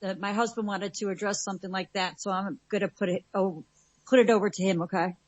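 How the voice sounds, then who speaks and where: A woman's voice: medium pitch, steady tone, fast talker, no echo, lo-fi sound. A woman hosting a live internet radio talk show, reading station announcements and handing over to guests.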